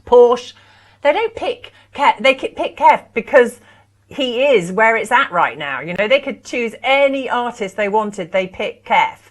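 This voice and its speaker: A woman's voice: on the high side, animated, measured pace. Upbeat woman presenting art. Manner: enthusiastic persuasive selling. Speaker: auction presenter. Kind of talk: live sales presentation.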